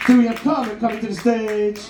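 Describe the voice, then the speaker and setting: A young man with a very high voice compering a live show from a theatre stage, energetic and calling out each act. He sounds distant from the mic, with a big echoey room around him. A loud crowd and heavy noise fill the background. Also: brisk. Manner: energetic, shouts introductions. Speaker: compere of a school poetry show. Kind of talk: hyping up a live audience. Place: theatre stage in front of an audience.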